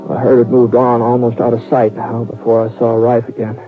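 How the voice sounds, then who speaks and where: A man's voice: medium pitch, monotone, lightly acted. A man narrating as a laconic cowboy drifter. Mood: tense. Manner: easygoing drawl with frequent halting restarts. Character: weary cowboy drifter telling his own tale. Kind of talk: 1940s radio acting. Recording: tinny old radio broadcast with music.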